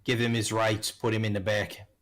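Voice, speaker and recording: Man acting a bitter, low-voiced former slave. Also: little pitch movement, normal speed, a bit bright, natural voice. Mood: defensive. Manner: blunt, sweary, world-weary. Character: bitter male ex-slave adventurer, afraid of orcs.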